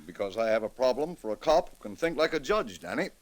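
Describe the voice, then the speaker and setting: An actor playing a grave, reflective middle-aged judge in an old-time radio drama, speaking in a measured, deliberate, dramatic way. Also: male voice, mid-range voice, sing-song, lightly acted. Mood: earnest. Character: grave, reflective male judge with a troubled conscience.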